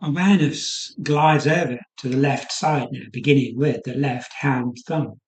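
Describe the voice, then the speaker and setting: Slow-spoken man guiding meditation, roomy echo. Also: medium pitch. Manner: soothing, unhurried instruction with trailing pauses. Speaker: yoga nidra guide. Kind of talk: guided meditation.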